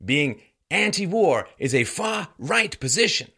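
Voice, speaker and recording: A man's voice: on the high side, big pitch swings, unhurried, gravelly, pushed and bright, slightly rough. A man from Ireland and England delivering an opinion monologue in a sarcastic, rhetorical manner with theatrical emphasis. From born in Ireland, grew up in England. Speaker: host of a political commentary show.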